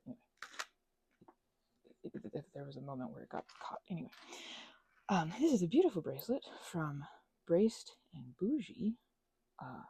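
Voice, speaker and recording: A woman rambling playfully in casual ASMR craft chat, scatterbrained and often interrupting herself, in a husky, breathy voice that often drops toward a whisper. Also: lowish voice, dark tone. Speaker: ASMR creator.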